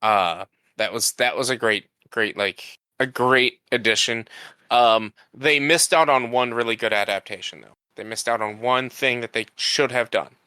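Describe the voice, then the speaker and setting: A man's voice: mid-range voice, moderate pace, bright, forceful tone, smooth, no room sound. A man co-hosting a podcast, talking casually and conversationally about films. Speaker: podcast co-host. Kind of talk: casual film discussion chat.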